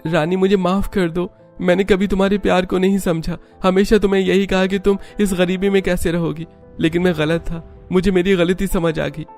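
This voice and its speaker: An actor playing a tender, earnest young husband in a cartoon story. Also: male voice, high voice, level tone, moderate pace, few pauses, darkish tone, faint music behind. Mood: remorseful. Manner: earnest, heartfelt. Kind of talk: Hindi cartoon story dialogue.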